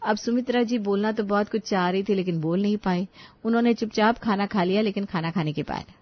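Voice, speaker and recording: A woman's voice: mid-range voice, a little fast, no echo, dull audio. A woman reading scripted narration for a moral story as its narrator.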